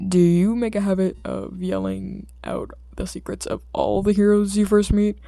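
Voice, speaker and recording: Casting: woman as weary-sounding gruff male hero, creaky and dark, curt deadpan lines for an audiobook. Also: medium pitch, normal speed, dry recording, studio quality, natural voice. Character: gruff, annoyed, tall adult male hero.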